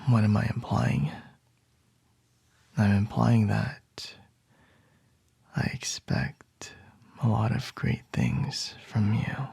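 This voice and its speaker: A man plays a boss in an intimate ASMR roleplay, his low voice rough with vocal fry and full of air. He speaks slowly and teasingly, much of it in a hushed whisper right up against the microphone, in a dry, close sound. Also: expressive, no room sound.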